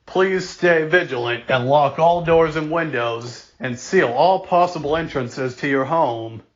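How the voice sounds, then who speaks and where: Man acting as slow, formal emergency alert announcer, dull audio. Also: on the high side, natural voice. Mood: formal, grave. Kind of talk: scripted emergency broadcast announcement.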